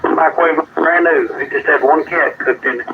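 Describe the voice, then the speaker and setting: A man with a slightly high voice jokes casually over CB radio. The transmission is thin and phone-like, with clear static. His talk is colloquial banter. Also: normal speed. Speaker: CB radio operator. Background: radio noise.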